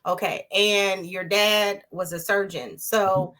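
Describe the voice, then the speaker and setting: A woman's voice: medium pitch, steady tone, slow pace, fairly bright tone, rough recording. A woman hosting an interview in a casual, affirming way, often repeating her guest's words back. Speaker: interview host.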